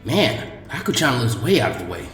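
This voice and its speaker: A man's voice: medium pitch, very animated, brisk, a bit bright, roomy sound, long reverb tail, faint music behind. A man voicing an impulsive boy ninja in a story reading, musing informally and with open emotion. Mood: curious, puzzled.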